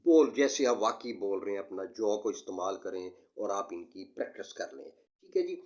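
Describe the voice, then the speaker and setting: Low-voiced man teaching, dull audio. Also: expressive, darkish tone. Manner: didactic, deliberate, emphatic and repetitive, slowing down for English sentences. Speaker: English test instructor. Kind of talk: explanatory lesson mixing Urdu and English.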